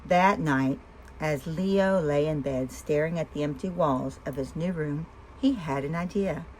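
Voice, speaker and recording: A woman reading a picture book aloud for young children with expression, pausing to ask the listeners simple questions. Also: low-pitched, a little mellow, a little hiss or hum, poor audio. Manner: asks listeners simple questions between story lines. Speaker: storyteller and host of a read-aloud show.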